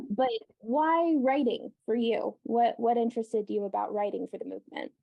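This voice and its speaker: A woman's voice: fairly high pitch, normal speed, vocal fry, muffled recording. A woman from the US co-hosting a casual chat, upbeat and often laughing. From United States. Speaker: podcast co-host.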